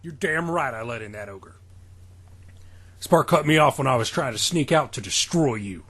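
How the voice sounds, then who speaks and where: A man's voice: medium pitch, lively intonation, normal speed, fairly bright tone, breathy edge. A man voicing an irritable, scheming professor villain from a wrestling parody story, with a taunting, barking delivery. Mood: gloating.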